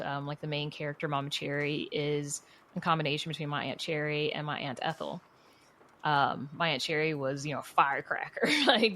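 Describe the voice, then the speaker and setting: Low-voiced woman chatting candidly. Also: a bit bright, a little hiss or hum. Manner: chatty, candid, informal, frequent "you know" and "like". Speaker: interview guest, writer and audio fiction producer. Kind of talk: casual conversational interview.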